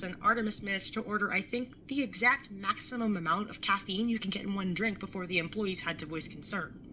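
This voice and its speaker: A woman acts out an exasperated older sibling in an audio drama, dry and sarcastic. The voice is very bright and forceful, that of a young adult, with a faint rumble of noise behind it. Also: poor audio. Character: exasperated, wry older sibling, narrating a road trip. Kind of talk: audio drama acting and first-person narration.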